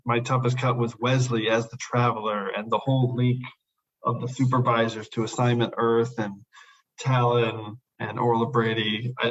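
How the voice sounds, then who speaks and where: Male podcast host, mid-range voice, eager and repetitive, slightly lo-fi sound. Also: medium pitch. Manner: enthusiastic, repeats praise words. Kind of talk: casual podcast discussion.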